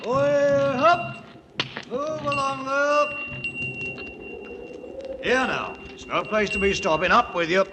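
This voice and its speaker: An actor playing a brash, commanding British ex-soldier in a 1950s radio drama, with a bold theatrical manner and a working-class British accent. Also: male voice, very high voice, slow, pauses often. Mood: driving, determined. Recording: reverberant, noisy vintage radio broadcast.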